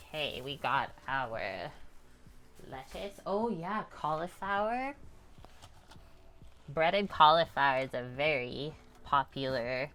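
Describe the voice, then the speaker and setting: Calm low-voiced woman cooking. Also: slow, pauses often. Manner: calm, chatty, talks to viewers. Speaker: cooking streamer. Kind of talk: casual cooking demonstration. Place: home kitchen.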